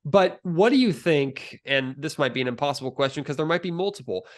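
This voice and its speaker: A man's voice: fairly high pitch, expressive, brisk. American man hosting a sports podcast. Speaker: podcast host.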